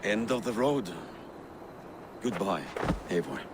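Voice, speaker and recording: An actor playing a proud, bitter banished Viking warrior in a video game, grave and measured. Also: male voice, on the low side, animated, loud music behind the voice. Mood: grave, resigned. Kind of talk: dramatic video game acting.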